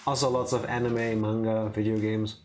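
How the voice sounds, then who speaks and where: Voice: mid-range voice, level tone, moderate pace, darkish tone. A streamer in casual conversation before a game stream, with an opinionated, playfully teasing way of talking. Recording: music playing behind the voice. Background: music.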